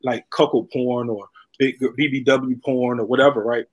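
Husky-voiced man chatting on a panel. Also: medium pitch, steady tone, normal speed, dull audio. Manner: rambling, repeats phrases for emphasis. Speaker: talk show guest, comedian. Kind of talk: casual panel chat. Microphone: video call.

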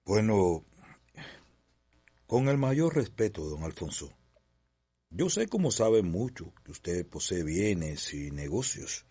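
An actor playing a deferential, cautious gentleman who advises on money in a radio soap. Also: deep voice, big pitch swings, takes it slowly, long pauses, slightly rough, full character voice. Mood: respectful. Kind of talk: radio soap opera acting.

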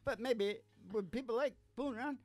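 A man's voice: very high voice, a little fast, no room sound. An older man hosting with casual, joking banter in a rambling manner. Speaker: podcast host and singing musician. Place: small curtained studio stage. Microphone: stand microphone. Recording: live with loud acoustic guitar. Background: acoustic guitar.

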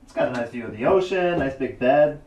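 A man's voice: medium pitch, lively intonation, fairly quick, a little mellow, highs cut off, lightly acted. A man playing a cocky, dismissive young friend in a horror audio drama, talking casually. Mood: breezy. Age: young adult. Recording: roomy echo, noisy background.

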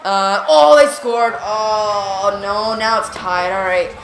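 Woman gaming host; jumpy live reactions, repeats words when excited, echoey room. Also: medium pitch, slow, a little hiss or hum. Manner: jumpy, reactive, lots of "come on" and "here we go". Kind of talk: excited live gameplay commentary. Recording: roomy echo.

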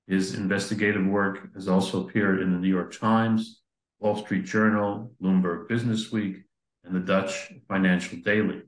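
Low-voiced older male host, echoey room. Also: steady tone, normal speed. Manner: formal introduction with fillers. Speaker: interview host. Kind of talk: hosting a video-call interview. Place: office indoors. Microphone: computer mic on video call. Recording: echoey room over video call.

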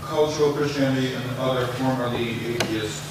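A man's voice: mid-range voice, fairly even intonation, fairly quick. A man who grew up in Bulgaria asks a hesitant question from the audience of a lecture. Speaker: audience member asking a question. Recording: distant echoey room audio with noise.

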